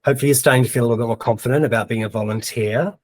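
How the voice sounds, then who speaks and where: A man's voice: mid-range voice, steady tone, rapid-fire, no echo. Chatty New Zealand man explaining. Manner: chatty, thinking aloud while typing, many ums. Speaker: presenter of a webinar. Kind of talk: casual screen-share tutorial.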